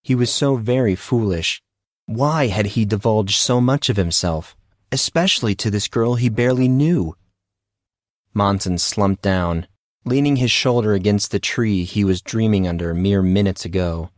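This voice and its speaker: A man reading a scripted dramatic story as an audiobook narrator, in a measured, solemn way. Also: fairly low pitch, no room sound, studio quality.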